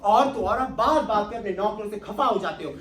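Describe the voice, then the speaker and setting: A man's voice: high voice, fairly quick, a bit bright. A man playing a cheeky, sardonic, put-upon servant in a comic stage play, speaking with theatrical mock deference. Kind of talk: comic Hindi-Urdu stage play acting. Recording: echoey hall from far off, noisy.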